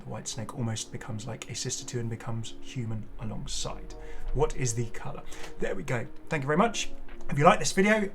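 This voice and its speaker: A man hosting a gaming channel, giving casual, unscripted commentary in a chatty, enthusiastic way and prone to exclaiming. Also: mid-range voice. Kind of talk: casual unscripted reaction commentary on game cosmetics.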